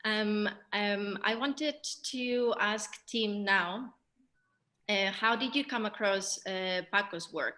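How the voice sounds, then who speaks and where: Female Q&A moderator, bright voice, conversational and a little halting. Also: mid-range voice. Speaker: moderator of a theatre Q&A. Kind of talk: conversational interviewing.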